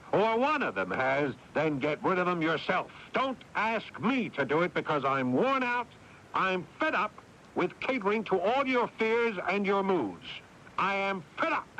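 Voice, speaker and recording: An actor playing a world-weary, exasperated older brother who narrates a 1980s radio drama with brooding guilt, in dramatic delivery. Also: male voice, high-pitched, bright, forceful tone, slight background noise, full character voice. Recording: dull lo-fi AM radio broadcast.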